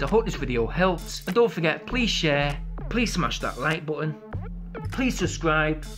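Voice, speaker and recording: An English man narrates a hands-on tech tutorial in a friendly, chatty voice that sits a little high. He moves through each step plainly and upbeat. Music plays clearly beneath him. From England. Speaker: tech video host. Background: background music.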